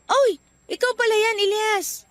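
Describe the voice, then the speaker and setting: Gentle young female office worker, Filipino accent, high-pitched, anxious, radio drama, faint music. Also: steady tone, measured pace, dry recording. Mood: shy, friendly.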